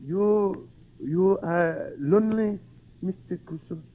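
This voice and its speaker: A man's voice: high-pitched, slow pace. A man playing a humble, devoted islander servant in an old-time radio drama, speaking simple broken English with words dropped. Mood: gentle, hopeful. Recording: tinny narrow-band old radio recording.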